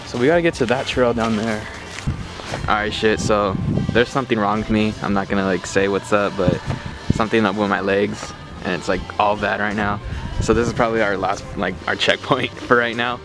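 A man's voice: on the low side, steady tone, fairly quick, music in the background, poor audio. A young man narrating a casual hiking vlog outdoors on a handheld camera, talking in a laid-back, slangy, rambling way. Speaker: vlogger. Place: outdoor hillside trail.

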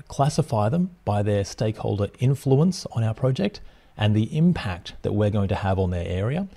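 A man in his thirties explaining project plans over slides, speaking steadily with occasional ums and uhs. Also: on the low side. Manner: plain, steady teaching with frequent fillers. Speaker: project management instructor.

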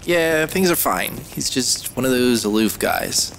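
A man's voice: medium pitch, animated, a little slow, creaky, a bit bright, a little hiss or hum. A man playing a defensive, wisecracking young dropout in casual audio drama dialogue. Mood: teasing, defensive.

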